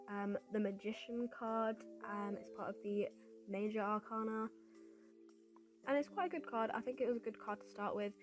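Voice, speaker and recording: A young woman chatting casually over her art video, a bit congested and raspy from a cold, rambling with fillers. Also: mid-range voice. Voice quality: slightly raspy. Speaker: artist vlogger. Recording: voice-over with music behind.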